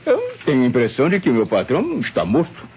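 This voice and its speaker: Lively, courteous private secretary. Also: male voice, medium pitch, quick pace, breathy edge, heavily acted. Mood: courteous, explanatory. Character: courteous, talkative male secretary of a doctor. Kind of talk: old radio drama acting. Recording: dull, hissy old radio recording.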